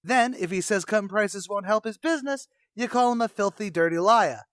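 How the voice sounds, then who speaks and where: A high-voiced, scheming tavern manager played by a man for an old-time radio comedy. He is self-important and blustery, tossing out slangy wisecracks with mangled grammar. The sound is dry, with no room around it. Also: brisk, a bit bright, no echo. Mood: bossy, mock-pompous. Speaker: actor in a comedy radio play.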